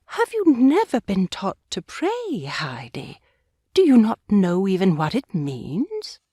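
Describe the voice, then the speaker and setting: A woman playing a kindly, pious old grandmother in an audiobook drama, speaking gently, earnestly and with measured care. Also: medium pitch, huge pitch swings, husky, dry recording, clean studio sound, full character voice. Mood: tender, earnest. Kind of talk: full-cast audiobook acting.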